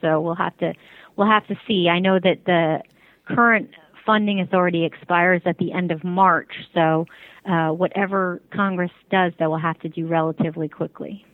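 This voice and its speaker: A woman with a slightly low voice answers interview questions as a spokesperson. She is careful and diplomatic, and the sound is thin and phone-like, with no room echo. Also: steady tone, normal speed. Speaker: interview guest, advocacy group spokesperson. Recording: tinny phone line.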